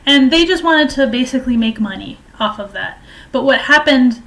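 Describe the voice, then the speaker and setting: A woman giving informal political and historical commentary in a halting, hesitant way, explaining at length. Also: sits high, expressive, fairly bright tone.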